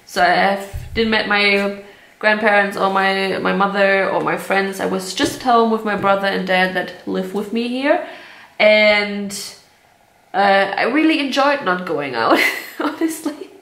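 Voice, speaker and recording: A woman's voice: mid-range voice, unhurried, fairly bright tone. Chatty young woman, roomy echo. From Germany. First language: German. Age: 20s. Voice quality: chatty, candid, laughs often, swears casually. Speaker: vlogger. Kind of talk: casual chat to camera. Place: at home, indoor room.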